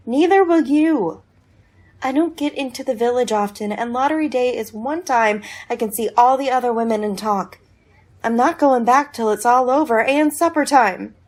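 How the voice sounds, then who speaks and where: Woman as a high-voiced, practical farm wife. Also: a little mellow. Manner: chiding, matter-of-fact. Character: brisk, practical farm wife, dismissive and sociable. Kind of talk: radio play acting.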